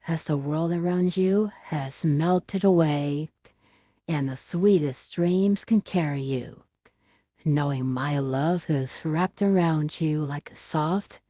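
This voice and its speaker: Woman acting a slow, mellow, cooing doting mother. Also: low-pitched, dry recording, studio quality. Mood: sleepy, soothing. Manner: soft, cooing, tender, slow. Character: gentle, doting mother comforting her small baby. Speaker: ASMR audio roleplay performer. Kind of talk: ASMR roleplay, soothing bedtime audioplay.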